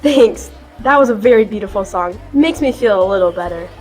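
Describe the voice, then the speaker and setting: A woman's voice: medium pitch, expressive, fairly quick, a little mellow, lightly acted. A woman acting a downcast, practical young woman with a dry, understated delivery in an amateur radio play. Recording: distant mic, music behind.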